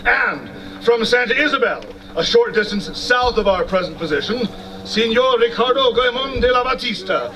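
A man's voice: very high-pitched, animated, moderate pace, pushed and bright, exaggerated voice. A man playing an old-time TV news anchorman, formal and declamatory, reporting outdoors at a historical reenactment. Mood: solemn, formal. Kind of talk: theatrical news reporting, reading from a script. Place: outdoor amphitheater in a park. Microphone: stand microphones, far camera. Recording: outdoor PA from far off, noisy.

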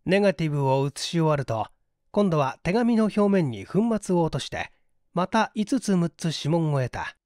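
A man's voice: on the high side, lively intonation, normal speed, darkish tone, no echo, studio quality. A man reading a mystery story aloud as scripted literary narration, in a theatrical storytelling manner. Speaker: audiobook reader.

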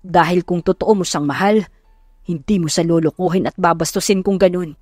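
A firm, commanding male suitor , voiced by a woman, scolding and protective. It is audiobook romance acting with a dry sound. Soft music runs behind. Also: fairly low pitch, brisk, no room sound, lightly acted. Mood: angry, scolding.

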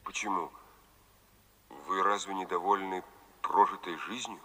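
An actor playing an attentive, businesslike male operator from the future in a Soviet radio play, brisk and official. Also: deep voice, flat delivery, fairly bright tone. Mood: attentive, probing.

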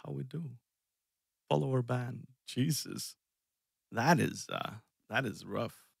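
Slow-talking gravelly man streamer. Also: mid-range voice. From Iceland. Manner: rambling, self-deprecating, chuckles often. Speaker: music streamer. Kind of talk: casual live-stream chat. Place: dark room indoors. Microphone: headset.

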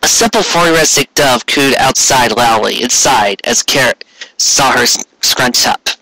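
A man's voice: medium pitch, measured pace, sharp tone, no echo, low-quality recording. A man reading a story aloud as scripted narration, now and then stumbling and correcting himself. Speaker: amateur fan fiction reader.

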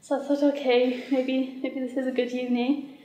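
Young Welsh woman, flat chatty voice. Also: high voice, normal speed. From Wales. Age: young adult. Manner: chatty, casual. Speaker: student. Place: university meeting room. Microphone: far camera. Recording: echoey meeting room.

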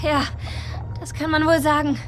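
A woman's voice: sits high, little pitch movement, normal speed, music in the background, lightly acted. A woman playing a brash, flustered young surfer girl in a children's audio drama, blunt and colloquial.

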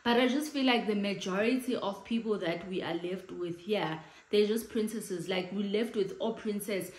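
A woman's voice: medium pitch, normal speed, echoey room. A South African woman in her late thirties with a big voice, chatting casually to camera in her living room and gesturing as she talks. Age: late 30s. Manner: chatty, animated hand gestures, mixes in Xhosa phrases. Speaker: vlogger. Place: living room, indoors, in front of a sofa.

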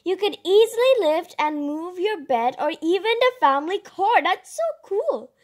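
A female host reading a children's picture book aloud, expressively, with chatty asides and drawn-out emphasis. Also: extremely high pitch, animated.

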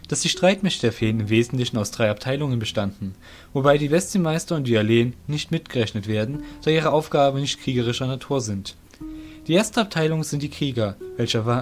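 A man narrating a fantasy audio drama in a scripted storytelling style. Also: medium pitch.

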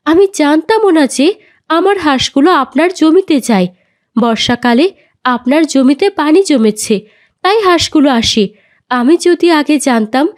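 A woman voicing an aggrieved, firm, God-fearing village mother in a moral cartoon. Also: high-pitched. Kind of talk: children's moral cartoon dialogue.

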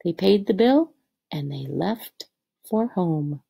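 A woman's voice: lowish voice, animated, slow pace, gravelly, warm tone, breathy edge. A woman teacher reading a picture book aloud to third graders, expressively and with direct questions to the children.

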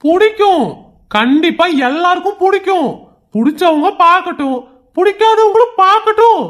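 A woman's voice: very high-pitched, lively intonation, normal speed. A woman voicing a teasing but affectionate father. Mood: doting.

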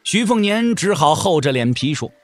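A man's voice: on the high side, sing-song, moderate pace, soft background music. A man narrating a martial-arts audio drama in a dramatic, scripted storytelling style.

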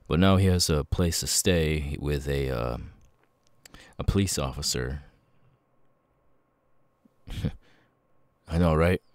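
An extremely deep-voiced, troubled ex-convict, acted by a man in an ASMR roleplay. He speaks slowly and haltingly, apologetic and stammering, in a very dry, close recording. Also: no room sound. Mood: confiding, hesitant. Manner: halting, stammering, sudden loud tic outbursts. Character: apologetic, troubled male ex-convict with involuntary tics. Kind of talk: ASMR audio roleplay, halting confiding monologue.

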